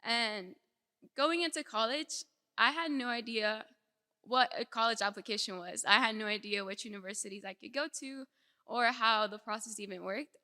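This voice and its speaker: A woman's voice: medium pitch, pushed and bright. A young woman from El Salvador who grew up in Houston, giving a conversational, informal personal speech as a student. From born in El Salvador, raised in Houston from age seven. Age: young adult. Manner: conversational, frequent "like". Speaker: nursing student speaker. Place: large indoor hall, speaking to an audience. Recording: echoey hall with long reverb.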